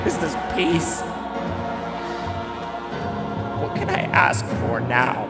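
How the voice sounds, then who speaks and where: An actor playing an anguished, ailing father in a classical tragedy, declaiming grave, halting verse in a grandiloquent way. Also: mid-range voice, lively intonation. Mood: bitter, grieving. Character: anguished ailing father, estranged brother of a tyrant king. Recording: long hall reverb, music underneath.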